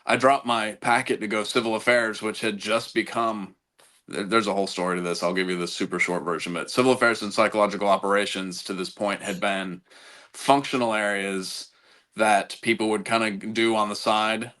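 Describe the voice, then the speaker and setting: A middle-aged man from the United States talks as a podcast guest, his voice sitting low. He speaks in a casual, halting way, doubling back on words and restarting sentences as he recalls his Army career. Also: fairly even intonation, bright, forceful tone. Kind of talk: casual conversational interview.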